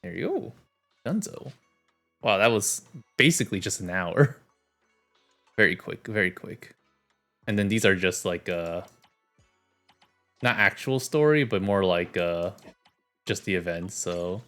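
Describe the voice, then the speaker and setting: A man streaming a game, reading its story aloud and chatting in a relaxed way, often trailing off. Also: low voice, slow pace, pauses often, soft background music.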